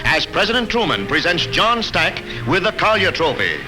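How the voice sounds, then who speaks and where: A man's voice: high voice, big pitch swings, normal speed, over music. An American man narrating a 1950s newsreel in a formal, emphatic announcer style, on a vintage soundtrack.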